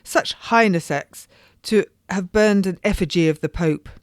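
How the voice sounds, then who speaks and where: A woman voicing an agitated genteel noblewoman who gossips and exclaims in high, theatrical bursts. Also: measured pace, no echo, studio quality, lightly acted. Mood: horrified. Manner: rising, theatrical, emphatic outbursts.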